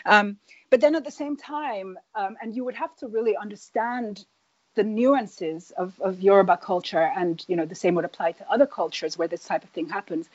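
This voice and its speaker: A woman who grew up in Nigeria, an author answering interview questions thoughtfully, with many pauses and restarts. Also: mid-range voice, dry recording, muffled recording. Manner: hesitant, many fillers and restarts. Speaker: author, guest.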